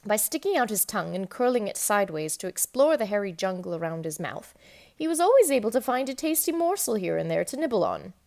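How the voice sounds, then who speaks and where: A woman reading a children's book aloud in an expressive, emphatic storytelling manner. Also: medium pitch, fast, creaky. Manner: animated, emphatic storytelling with dramatic stresses. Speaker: storybook reader.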